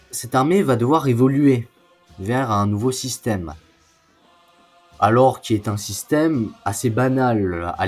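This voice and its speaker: A man narrating an educational history video in an explanatory way, with informal asides. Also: fairly low pitch. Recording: music behind the voice. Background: music.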